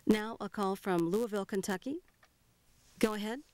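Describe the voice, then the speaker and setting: A woman's voice: mid-range voice, normal speed, darkish tone, dry recording. An American woman presenting live news and taking viewer calls as host. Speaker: TV call-in show host.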